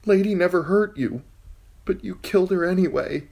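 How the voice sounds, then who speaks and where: A scared young noble girl answers in a higher, careful voice, as a male narrator puts her on. Her words are guarded and a touch breathy. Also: normal speed, darkish tone, low-quality recording. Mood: fearful.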